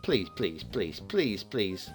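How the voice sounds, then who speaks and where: A man's voice: lowish voice, big pitch swings, slow, faint music behind. A man hosting a game map review in a chatty, playfully teasing way, commenting casually and off the cuff.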